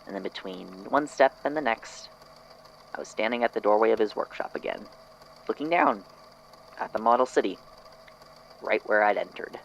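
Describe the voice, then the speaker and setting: A man's voice: on the low side, sing-song, normal speed, vocal fry, long pauses, muffled recording, a little hiss or hum. A man acting as an unsettled narrator in an audio drama, hesitantly and reflectively recounting an eerie memory. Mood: uneasy recollection. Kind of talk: audio drama statement, hesitant first-person storytelling.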